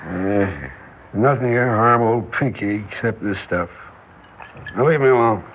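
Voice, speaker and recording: An actor playing an evasive, slangy down-and-out drunk with slurred speech in a 1960s radio drama. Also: male voice, fairly low pitch, a little slow. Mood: bitter, boozy. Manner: slurred, rambling, slangy tipsy talk. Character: drunken, evasive down-and-out barfly man in hiding. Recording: dull, noisy 1960s radio recording.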